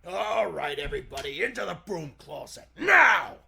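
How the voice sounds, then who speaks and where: Menacing stick-up thug played by a man, heavy rasping fry, forceful bark, old-time radio serial. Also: sits high, very animated, normal speed, pitched below the performer's own voice, exaggerated voice. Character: gruff, menacing male gangster holdup thug. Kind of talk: 1940s radio serial acting.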